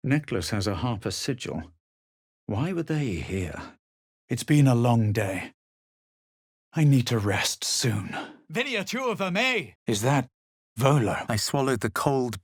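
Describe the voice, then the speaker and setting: A haughty young adventurer from a fantasy video game. His voice is on the low side and husky, recorded bone dry. He speaks in measured, literary phrases with cool self-assurance. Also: no room sound, exaggerated voice. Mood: forceful incanting. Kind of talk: video game character narration and barks.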